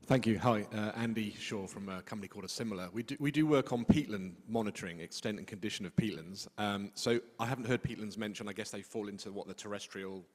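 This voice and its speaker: A man's voice: lowish voice, quick pace, hardly any pauses. A man in the audience at a conference asking a long question, rephrasing himself as he goes. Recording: echoey lecture hall.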